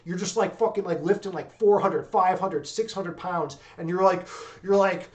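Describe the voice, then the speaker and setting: A young man with a fairly high voice, hosting a livestream and chatting loosely with his viewers. He talks in an excitable, rambling way, swears freely and tosses in "dude" and "man" all the time. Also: moderate pace. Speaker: livestream host. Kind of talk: casual livestream chat with viewers.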